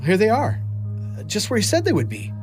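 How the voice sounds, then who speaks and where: An actor playing a mild, wondering disciple in a conversational audio drama. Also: male voice, high-pitched, huge pitch swings, normal speed, soft background music. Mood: surprised.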